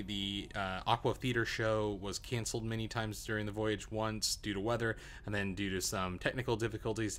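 Chatty man with a lowish voice. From Arizona, USA. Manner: relaxed, chatty, frequent uh and um fillers. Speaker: travel vlogger. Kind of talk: casual review to camera.

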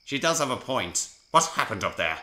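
A man's voice: mid-range voice, very animated, normal speed, sharp tone, voice pushed up. A man voicing a stern but fair male railway controller with a firm, questioning manner.

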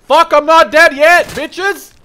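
A man in his twenties giving casual, sweary commentary over first-person shooter gameplay in an excitable manner. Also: very high-pitched, slow pace. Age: 20s. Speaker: gaming video creator. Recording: loud gunfire and explosions behind. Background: war game sound effects, gunfire, machine guns.

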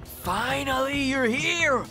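A hyped up slangy party buddy, swoopy pitch, music behind, radio drama. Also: male voice, lively intonation, slow pace, bright, forceful tone, heavily acted. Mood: excited, admiring. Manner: effusive, slangy. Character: gushing, laid-back young party friend.

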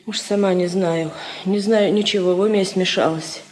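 An actress playing a frightened, anxious peasant wife in a 1950s Soviet radio drama, lamenting in a way of speaking. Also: female voice, mid-range voice, fairly even intonation, moderate pace, darkish tone, slight background noise, natural voice. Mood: fearful, wavering. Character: fretful, frightened Russian peasant wife plotting against her husband.

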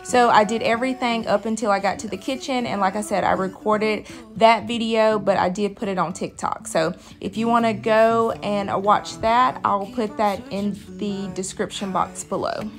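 Woman, mid-pitched voice, informal home vlog narrator, light music bed. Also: fairly even intonation, normal speed, hardly any pauses. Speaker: vlogger, host of a cleaning channel. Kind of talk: casual voice-over narration.

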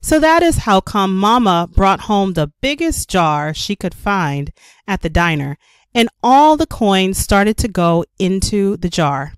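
A woman narrates a children's picture book in a careful, expressive way. Her pitch sits in the middle for a woman. The sound is dry and close, with no room around it. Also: a little slow, smooth, dry recording. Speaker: storyteller reading a children's picture book aloud. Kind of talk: scripted read-aloud narration.

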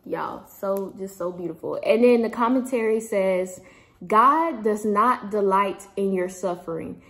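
A woman's voice: medium pitch, unhurried. A young woman vlogging at home, reading a devotional aloud and chatting casually into a phone held close. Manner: chatty, upbeat, warm-hearted sign-offs. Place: indoors at home, small room. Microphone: phone camera held close.

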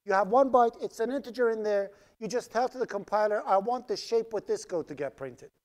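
A man's voice: high-pitched, a little fast. Man, native Farsi speaker, programming instructor, live coding lecture, step-by-step explaining, screen-capture voice-over. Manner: explains step by step while typing code, asks "right?" often. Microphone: screen recording with voice-over mic.

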